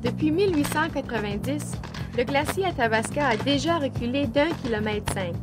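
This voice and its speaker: A woman narrating a nature documentary, clear and informative. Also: high-pitched, animated, normal speed. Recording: voice with music behind.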